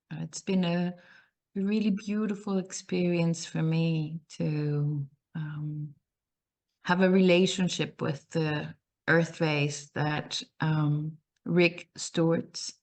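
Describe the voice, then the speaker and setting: A woman from Costa Rica speaks slowly and softly, pausing often as she reflects. Her voice sits low and stays fairly even in pitch. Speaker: meditation call participant. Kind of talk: slow reflective sharing.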